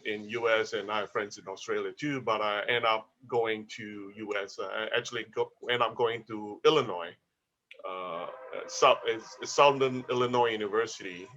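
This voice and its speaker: A man's voice: on the low side, pushed and bright, dull audio. A man in his fifties from Malaysia, talking about his life as an interview guest with many small restarts. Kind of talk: casual interview.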